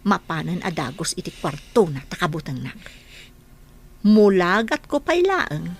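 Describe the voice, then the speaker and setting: A woman playing a spiteful, scheming nanny in an Ilocano-accented radio drama, with a sharp, confrontational manner. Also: lowish voice, very animated, measured pace, husky, exaggerated voice. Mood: scheming, brooding.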